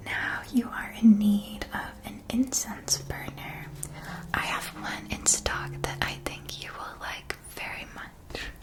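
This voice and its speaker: Casting: a woman playing a courteous magic-shop keeper for ASMR roleplay, whispering throughout, slow and gentle. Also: fairly high pitch, steady tone. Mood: soothing, explanatory. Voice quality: soft, breathy whisper. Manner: slow, gentle, measured, attentive salesmanship. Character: courteous, helpful woman shopkeeper at a witch school supply store.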